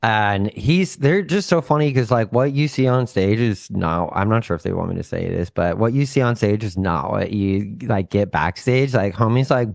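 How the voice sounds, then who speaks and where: Young adult male musician, low voice, casual rambling storyteller, dry mic. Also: normal speed, vocal fry, hardly any pauses, dry recording. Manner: loose, profane, rambling with lots of "like". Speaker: touring musician guest.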